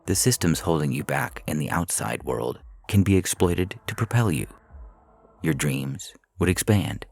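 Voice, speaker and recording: A man reading audio fiction as a calculating artificial intelligence, coolly persuasive and menacing, speaking in a measured, clinical, formal way. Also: low-pitched, gravelly, breathy edge, heavily acted. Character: calculating prison AI, four years old, coolly persuasive, menacing.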